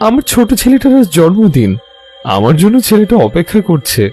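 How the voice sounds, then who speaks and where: A man's voice: high voice, sing-song, fairly quick, mellow, faint music behind, pitched above the performer's own voice. A man voicing a frightened, humble father pleading with a mugger in a cartoon. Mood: desperate pleading. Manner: pleading, imploring. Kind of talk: Bengali cartoon voice acting.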